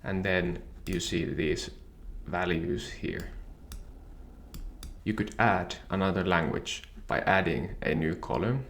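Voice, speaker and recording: Finnish-native guy, deep gravelly voice, slow steady tutorial talk, bit of hiss. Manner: calm, methodical explaining. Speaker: software tutorial presenter. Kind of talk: screen-share software tutorial.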